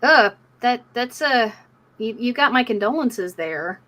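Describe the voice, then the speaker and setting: Bright, high-voiced woman streamer. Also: expressive, measured pace. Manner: emphatic, outspoken, casually profane. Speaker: livestream host. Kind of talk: live community stream discussion.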